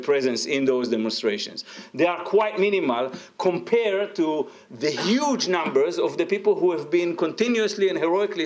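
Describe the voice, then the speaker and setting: Man, panel speaker, slightly high voice, lots of uh and um, emphatic bursts. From Israel. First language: Arabic. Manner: hesitant, many fillers, rising emphatic bursts. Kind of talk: academic panel talk.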